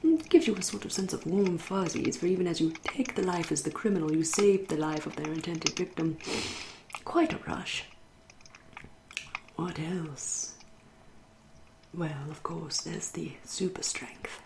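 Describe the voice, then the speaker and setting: A woman with an English accent playing a seductive, wry, refined female vampire in a soft, whispered ASMR roleplay, speaking slowly and formally. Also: fairly low pitch, background noise. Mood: enticing, playful. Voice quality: soft, breathy, often whispering. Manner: slow, lingering, genteel, archly formal. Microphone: close ASMR microphone.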